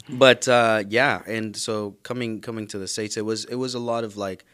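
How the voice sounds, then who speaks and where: Low-voiced Spanish-native man, laughing storyteller. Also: steady tone, normal speed, a bit bright, clear, resonant tone. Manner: easygoing storyteller, laughs often. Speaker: guest, theology student. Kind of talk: casual conversation, personal story.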